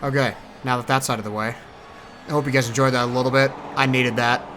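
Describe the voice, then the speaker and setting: A man gives an off-the-cuff voice-over for a gaming video. His voice sits at an average pitch and he rambles along in a laid-back, hesitant way. Very loud car sounds from a racing game run behind him. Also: steady tone, quick pace, noisy background. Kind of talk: casual rambling voice-over commentary.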